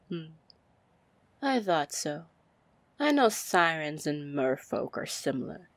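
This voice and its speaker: Slow, seductive pirate captain played by a woman. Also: low-pitched, no room sound, exaggerated voice. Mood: probing, sly. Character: seductive, commanding female pirate lord captain. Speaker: roleplay audio performer. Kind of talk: ASMR fantasy roleplay.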